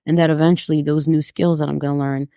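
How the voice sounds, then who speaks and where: A woman with a gravelly, fry-edged voice hosts a podcast and backs up her guest with steady agreement. She talks casually and supportively. The recording is dry and close. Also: sits low, quick pace, no room sound. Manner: supportive, frequent backchannel agreement. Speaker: podcast host.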